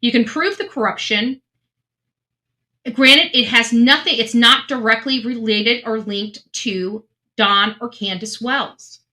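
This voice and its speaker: A woman's voice: on the high side, slow pace, bright, forceful tone, low-quality recording. A woman giving casual true crime commentary, earnest and emphatic, often restarting her sentences.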